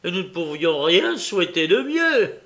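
A sly old storybook villain, acted out by a male reader, pitched high and swooping. He sounds mocking and wheedling, with menace under every phrase. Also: big pitch swings, normal speed, a bit bright, heavily acted. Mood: sly glee.

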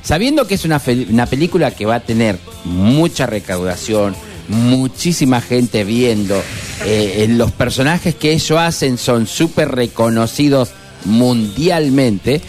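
A man's voice: mid-range voice, measured pace, soft background music. A man co-hosting a casual radio show, chatty and full of fillers. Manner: lively, chatty, many fillers and laughs. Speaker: radio co-host.

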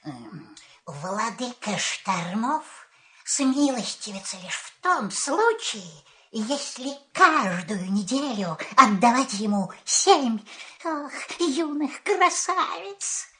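An actor playing a sly, ugly little male sorcerer in a fairy-tale audio play, with theatrical, drawn-out incanting. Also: mid-range voice, big pitch swings, pushed and bright, exaggerated voice. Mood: ominous chanting.

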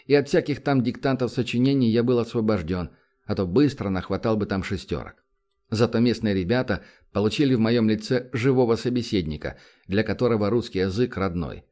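A man's voice: on the low side, brisk, mellow. A man reading a first-person novel aloud as an audiobook narrator, with a wry, confiding storytelling manner.

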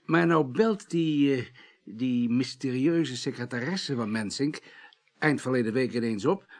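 An actor plays a male undertaker in a radio drama. His voice is of medium pitch. He is chatty and obliging, with a wry touch of mock ceremony. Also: measured pace, close to an everyday voice. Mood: chatty, matter-of-fact.

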